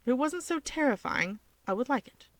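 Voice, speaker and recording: A woman's voice: sits high, expressive, fairly quick, no room sound. A woman reading first-person fan fiction as the story's narrator.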